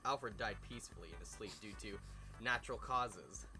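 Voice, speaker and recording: A man's voice: lowish voice, normal speed, sharp tone. A man reading a story aloud with joking asides, stumbling over words as he goes. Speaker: host reading fan fiction aloud. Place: outdoors by a fireside at night. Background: music.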